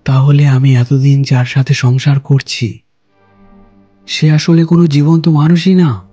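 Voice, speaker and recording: A man telling a first-person ghost story for a cartoon, calm and reflective. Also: mid-range voice, a little slow, breathy edge, highs cut off, soft background music.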